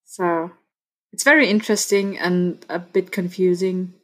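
A young woman from Austria speaking English with an Austrian accent, her first language being German, talking through her thoughts in a relaxed interview and laughing often. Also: lowish voice, measured pace. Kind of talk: casual conversation.

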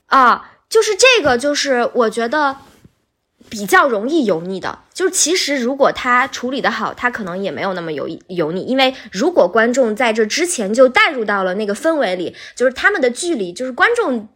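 Female podcast guest, fairly high voice, very lively intonation, casual explanatory talk. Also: fairly quick.

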